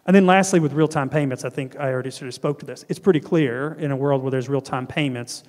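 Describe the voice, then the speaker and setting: A man's voice: mid-range voice, quick pace, a little mellow. A middle-aged man from North Carolina giving a conference keynote with a handheld mic, earnest and restarting often. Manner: earnest, gestures broadly, frequent restarts and ums. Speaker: keynote speaker and panel guest. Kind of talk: conference keynote and fireside chat. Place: large hall on stage. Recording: hall reverb.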